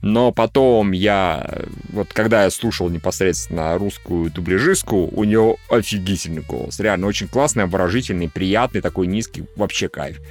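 Guy with a lowish voice doing casual podcast talk, swears a lot, bit of music. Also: normal speed, hardly any pauses. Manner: crude, profanity-laced informal speech. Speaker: podcast co-host.

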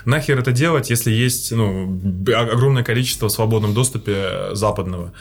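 A man's voice: fairly low pitch, brisk, husky. A man co-hosting a podcast in casual chat, arguing his point bluntly and swearing now and then. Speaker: podcast co-host.

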